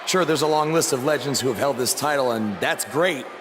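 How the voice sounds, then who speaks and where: A man's voice: medium pitch, brisk, lightly acted. A man voicing a proud, determined young champion wrestler giving an earnest, declamatory promo in a video game. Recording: reverberant arena, noise behind.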